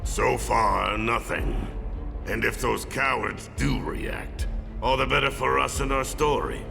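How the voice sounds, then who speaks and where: An actor playing a gruff, impatient, blustering security boss in a dramatic video game cutscene, with a gravelly voice. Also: male voice, mid-range voice, fairly even intonation, slow pace, big-room sound, over music. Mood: smug.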